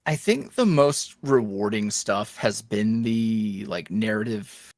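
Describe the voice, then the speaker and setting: A man talking casually as a podcast guest, in a rambling, animated, self-deprecating way. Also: lowish voice, slow pace, no echo, studio quality. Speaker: podcast guest, ASMR roleplay voice creator. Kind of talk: casual interview chat.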